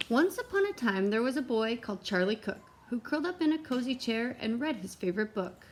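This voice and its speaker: A woman with a slightly high voice reads a children's picture book aloud. Her delivery is expressive and geared to young listeners, with simple explanations along the way. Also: fairly quick, slight background noise, rough recording. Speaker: read-aloud teacher and storyteller.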